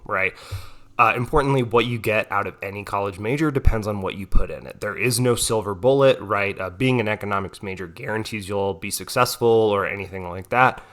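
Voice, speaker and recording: A man's voice: fairly low pitch, a bit bright. A young man with a cold, a little nasal, explaining a topic in a casual tutoring talk with frequent "right?" tags. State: has a cold, may sound nasal. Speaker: economics tutor.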